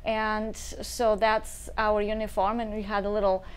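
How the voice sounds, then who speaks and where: A woman's voice: mid-range voice, little pitch movement, unhurried, smooth. A woman in her thirties from Bulgaria with a Bulgarian accent, telling her story earnestly as a talk show guest. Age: 30s. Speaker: guest, nonprofit founder.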